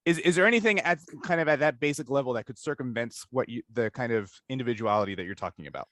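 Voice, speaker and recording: A man's voice: mid-range voice, quick pace, fairly bright tone, no echo. A man hosting a casual interview, rambling and interrupting himself as he thinks aloud. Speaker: interview host.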